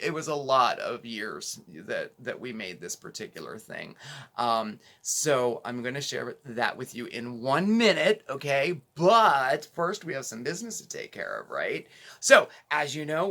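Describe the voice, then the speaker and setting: Chatty hoarse man with a bright voice. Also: mid-range voice. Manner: chatty and rambling, with many fillers and restarts. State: losing his voice, a bit hoarse. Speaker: cooking channel host. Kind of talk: casual chat to viewers.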